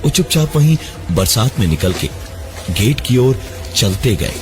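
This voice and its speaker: A man narrating a horror story in the first person, dramatically, with suspenseful, deliberate pauses. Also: mid-range voice, sing-song. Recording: music behind the voice, 3D voice effects. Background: prominent music.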